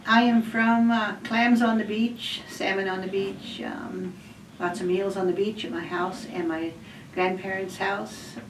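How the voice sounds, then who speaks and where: A woman in her forties from Washington State giving a personal recitation in a halting, list-like way with many pauses and ums. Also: medium pitch, flat delivery, noisy background. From Washington State, USA. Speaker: student speaker. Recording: roomy echo, distant mic.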